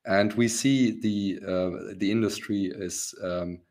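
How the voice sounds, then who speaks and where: Man, rough hoarse voice, very deep pitch, halting webinar talk. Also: steady tone, darkish tone. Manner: halting, many ums and restarts. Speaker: webinar host, company founder. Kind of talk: business webinar presentation.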